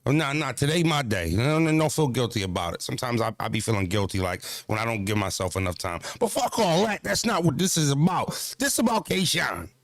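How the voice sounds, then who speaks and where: A man's voice: mid-range voice, animated, brisk, hardly any pauses, dry recording. A man in his thirties talking casually to camera about battle rap and self-care, loose and profane, full of "you know what I mean.". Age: 30s. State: just worked out at the gym. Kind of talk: casual vlog talk to camera.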